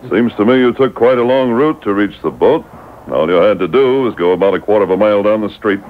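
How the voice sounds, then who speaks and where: A man's voice: lively intonation, fast talker, natural voice. Man acting a stern Mountie on tinny old radio. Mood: stern, probing. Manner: authoritative, firm, clipped interrogation. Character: stern, commanding male Mountie sergeant with loyal dog. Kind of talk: 1940s-50s radio adventure serial acting. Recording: tinny, noisy boombox taping of AM radio.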